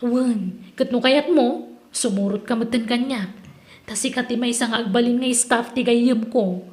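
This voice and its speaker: An actress plays a boastful Ilocano woman in a radio drama, breezy and insistent as she pushes a friend along. Her voice is very high and rings with echo. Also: a little slow, a little mellow, natural voice. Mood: pushy. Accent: Ilocano Filipino. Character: Rosalyn, pushy boastful friend luring into investment scheme. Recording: roomy, echoing studio drama sound.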